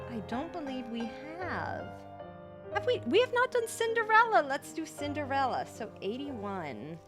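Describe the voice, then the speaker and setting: A woman hosting a livestream, speaking in a casual, rambling way as if thinking aloud. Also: fairly high pitch. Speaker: livestream host, VTuber. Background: background music.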